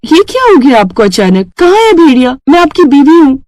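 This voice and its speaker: A woman's voice: high-pitched, big pitch swings, fairly quick, darkish tone, dry recording, voice pushed up. A woman voicing a bewildered, complaining young wife in a storybook tale, with a plaintive manner.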